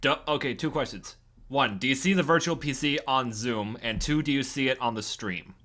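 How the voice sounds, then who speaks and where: A man's voice: medium pitch, moderate pace, bright, forceful tone. A man chatting casually with fellow co-hosts of a livestream on a group video call. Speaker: livestream co-host.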